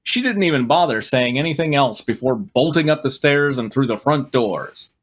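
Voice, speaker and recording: A man's voice: medium pitch, animated, moderate pace. Wry man reading fan fiction aloud. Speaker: reader and riffing co-host. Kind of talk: comic read-aloud of fan fiction with asides.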